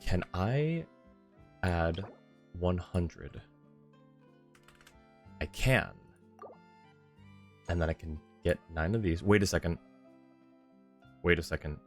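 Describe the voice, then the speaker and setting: Deep voiced guy streaming a game, rambly n easygoing, thinking out loud, music playing behind him. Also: lively intonation, slow pace, pauses often. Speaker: game livestreamer. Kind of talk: casual livestream chat while playing a game. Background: background game music.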